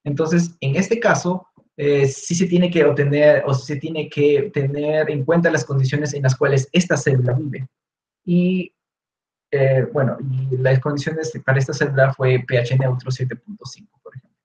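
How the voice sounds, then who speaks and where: A young man with a Peruvian Spanish accent, relaxed and explanatory, answering questions in an online talk with many fillers and restarts. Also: fairly high pitch, moderate pace. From Peru. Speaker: guest speaker, researcher. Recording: echoey room, dull lo-fi audio.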